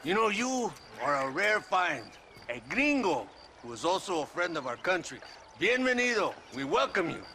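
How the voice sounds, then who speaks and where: An actor playing a smug, lecherous Mexican army officer in a video game, flamboyant and mock-courteous with a sneering drawl and Mexican accent. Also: male voice, high-pitched, very animated, normal speed, pushed and bright, a little hiss or hum, full character voice. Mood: smug, expansive. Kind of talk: theatrical video game acting.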